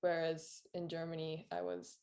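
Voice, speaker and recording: Laughing teenage girl, soft mellow voice. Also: low-pitched, sing-song, normal speed. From Philippines. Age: teens. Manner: chatty, laughs often, many "like" fillers. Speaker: interview guest. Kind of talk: casual chat.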